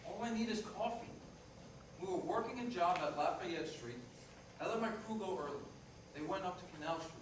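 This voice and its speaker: A man's voice: high voice, normal speed, close to an everyday voice. A man playing a frank, remorseful working-class ex-fiancé in a staged reading, speaking in a plain, matter-of-fact way. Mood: casual, dry. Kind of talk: staged reader's theater dialogue. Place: indoor performance room, distant microphone. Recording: echoey noisy room recorded from far off.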